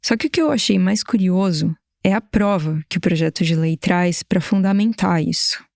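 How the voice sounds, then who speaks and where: A woman with a Brazilian Portuguese accent narrating a documentary podcast in a measured, explanatory way. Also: lowish voice, creaky, darkish tone, no room sound, clean studio sound. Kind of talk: scripted narration.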